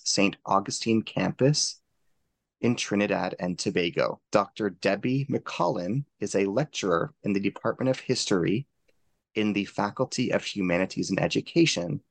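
Male interviewer, gravelly low voice, dry close sound, formal and measured. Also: dry recording. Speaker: interview host.

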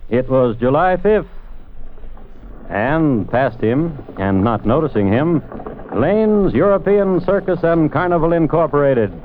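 A measured, literary storyteller narrates in poetic phrases at a middle pitch. The old-time radio recording is thin and phone-like, with faint noise. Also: male voice.

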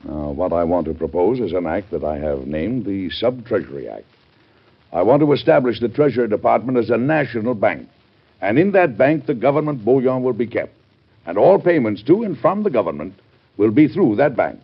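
An actor playing a steadfast older president in an old-time radio drama, measured, paternal and resolute. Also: male voice, lowish voice, very animated, breathy edge, heavily acted. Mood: firm, resolute. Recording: muffled old radio broadcast.

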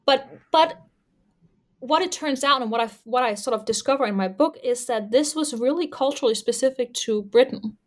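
A Danish woman with a slightly high voice talks through her historical research as an interview guest. She is thoughtful, and her sentences often restart with fillers as she works out her points. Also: normal speed. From Denmark. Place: Canada.

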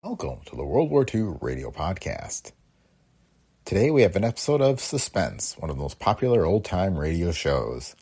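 Gravelly low-voiced man hosting a podcast. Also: sing-song, normal speed, a little mellow. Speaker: podcast host. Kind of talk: scripted narration.

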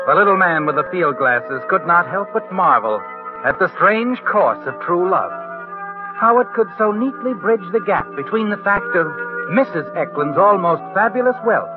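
An actor playing a sly, knowing mystery narrator in 1940s radio style, deliberate and insinuating. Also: male voice, on the high side, natural voice. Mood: storytelling. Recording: vintage radio, phone-like, music behind. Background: dramatic music.

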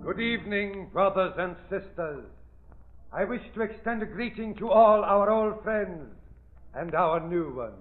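A male actor playing a solemn spiritualist medium, addressing a seance with measured ceremony in an old radio drama. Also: high-pitched, animated, music in the background. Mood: solemn, welcoming. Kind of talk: 1940s radio acting. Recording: narrow, muffled old radio recording.

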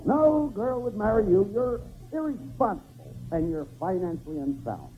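A man's voice: high voice, big pitch swings, normal speed, soft background music. A man playing the scolding, fussy straight man in a 1940s radio comedy double act. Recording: tinny, hissy old radio broadcast.

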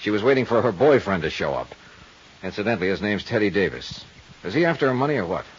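Wry hard-boiled detective, lively low voice. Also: male voice, fast talker, a little hiss or hum. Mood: brisk, insistent. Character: hard-boiled male private insurance investigator, wry and unflappable. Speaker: lead actor and narrator of radio drama. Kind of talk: 1950s radio detective drama acting. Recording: muffled 1950s radio drama recording.

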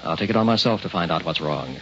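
A man's voice: low voice, animated, fast. An actor playing a troubled middle-aged scientist and family man in an old-time radio drama. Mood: grave, troubled. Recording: muffled old radio recording with hiss.

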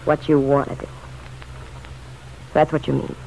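A woman's voice: low-pitched, level tone, measured pace, creaky, warm tone. An actress playing a bitter, pleading, lovelorn city woman in a vintage radio drama, blunt, slangy and insistent. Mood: eager pleading. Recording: dull, noisy old radio recording.

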